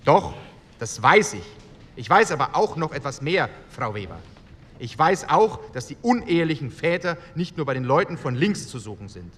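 Combative parliamentarian in an echoing hall. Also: male voice, fairly high pitch, big pitch swings, moderate pace, fairly bright tone. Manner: argumentative, emphatic. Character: combative, blunt communist parliamentarian in post-war Germany. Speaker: actor in a documentary radio play. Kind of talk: re-enacted parliamentary debate speech. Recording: echoey hall with background noise.

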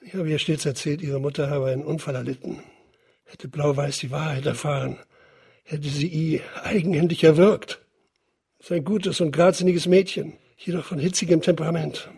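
A poor father from the slums in a radio drama, husky and gravelly, with a dark, subdued tone. He is deferential and measured on the surface, with bitterness and quiet vengefulness beneath. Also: on the high side, steady tone, exaggerated voice. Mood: proud, explaining. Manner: deferential yet bitter, measured formal storytelling.

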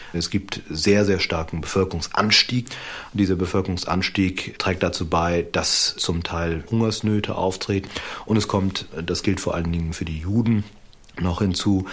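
A man explaining history as an interviewed expert, deliberate and emphatic, with academic phrasing. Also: sits low, husky.

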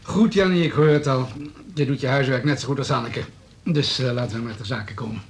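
A man's voice: medium pitch, brisk, close to an everyday voice. Condescending male politician played by an actor. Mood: needling. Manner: smooth, businesslike, needling. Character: hard-nosed, condescending male town politician. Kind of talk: Dutch radio drama dialogue.

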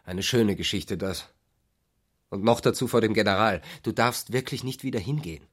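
Man acting a husky, troubled, sceptical husband. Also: lowish voice, moderate pace, natural voice. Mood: reproachful. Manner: questioning, reproachful, uneasy. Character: Walter, troubled, sceptical husband of an eccentric woman. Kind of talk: literary radio drama acting.

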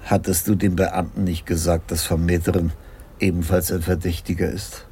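Male actor for radio crime drama police chief: low, mellow voice, dry, unhurried musing manner. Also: flat delivery, slight background noise, lightly acted. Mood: amused, reflective. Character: senior Dutch police commissioner, dry, amused and reflective. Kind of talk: 1980s German radio crime drama acting.

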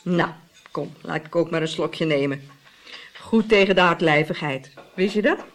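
A woman's voice: fairly low pitch, normal speed, close to an everyday voice. A woman playing a hearty, gossipy Italian innkeeper who relishes telling scandal in a Dutch radio play. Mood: hearty, welcoming. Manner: theatrical, relishing storytelling with exclamations. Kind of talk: Dutch radio drama acting.

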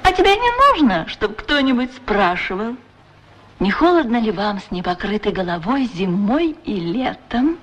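A woman's voice: mid-range voice, big pitch swings, normal speed, lo-fi sound, natural voice. A woman voicing a playful talking boot in a 1960s radio play, teasing and asking pointed questions. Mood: curious, needling. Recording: noisy 1960s radio recording.

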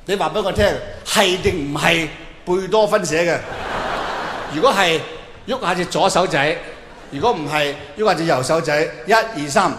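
A man with a Hong Kong Cantonese accent playing an earnest teacher who slowly puts exam questions to babies in a comedy routine. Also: on the high side, fairly bright tone, close to an everyday voice. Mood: earnest quizzing. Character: solemn interviewing teacher quizzing tiny babies. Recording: echoey hall with long reverb, faint noise.

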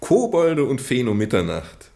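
An actor playing an evasive, indignant young English aristocrat of about thirty in a German radio drama, haughty yet hesitant. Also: male voice, mid-range voice, wildly swooping pitch, unhurried, gravelly, a little mellow, full character voice. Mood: flippant. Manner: haughty, hesitant, hedging with polite formality.

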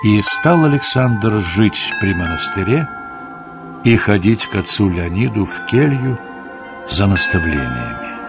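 A man's voice: on the low side, big pitch swings, unhurried. A man narrating a radio play in a scripted storytelling style. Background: music under the voice.

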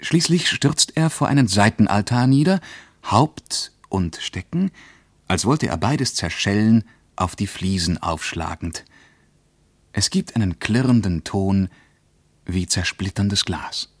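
A man's voice: lowish voice, lively intonation, takes it slowly, no room sound. A man reading diary passages in a radio play with vivid, intense storytelling.

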